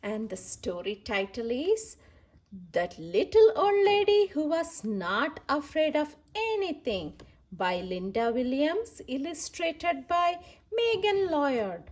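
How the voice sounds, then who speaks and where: High, lively woman reading children's stories. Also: takes it slowly, slight background noise. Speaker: children's story reader and host. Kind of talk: animated read-aloud storytelling for children.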